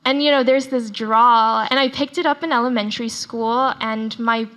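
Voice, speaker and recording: A woman's voice: fairly high pitch, steady tone, moderate pace, a bit bright, rough recording. A woman author and translator from Texas who first spoke Chinese, giving thoughtful, academic-sounding answers in an interview. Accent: adaptable American, mirrors people around her. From Texas, United States. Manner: articulate, reflective, academic phrasing.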